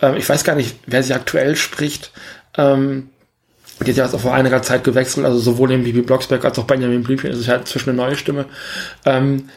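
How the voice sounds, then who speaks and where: A man's voice: mid-range voice, level tone, fairly quick. A man co-hosting a podcast, chatting casually about films and thinking aloud as he rambles. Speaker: podcast co-host. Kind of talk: casual film review chat.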